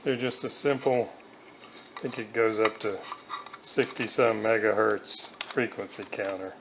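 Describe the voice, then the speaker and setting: Older guy explaining a hobby repair, real slow and halting, bit of background noise on it. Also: fairly low pitch, fairly even intonation. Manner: halting, pausing mid-sentence. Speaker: hobbyist restorer demonstrating a repair. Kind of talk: unscripted hands-on workshop demonstration. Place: indoors at a home kitchen counter. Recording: noisy home video, room ambience.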